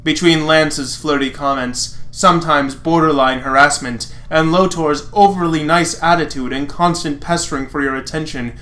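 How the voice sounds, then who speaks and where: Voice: mid-range voice, normal speed, hardly any pauses, fairly bright tone. A sarcastic, mocking narrator reading fan fiction aloud and breaking off for dry commentary. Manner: sardonic, mocking. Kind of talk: dramatic reading with sarcastic asides.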